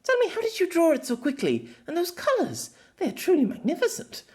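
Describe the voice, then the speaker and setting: A man's voice: extremely high pitch, wildly swooping pitch, a little fast, darkish tone, voice pushed up, exaggerated voice. A man voicing an easily flustered young warrior maiden who speaks in formal, archaic, theatrical phrases. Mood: amazed.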